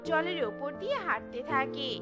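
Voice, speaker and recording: A woman narrating a children's cartoon story in a lively, sing-song way. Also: high voice, moderate pace, loud music behind the voice.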